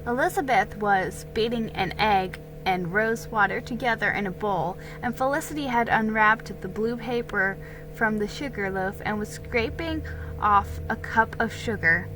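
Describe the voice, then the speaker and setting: A woman's voice: medium pitch, a little slow, over music, poor audio. A woman giving a dramatic narration of a storybook as its reader.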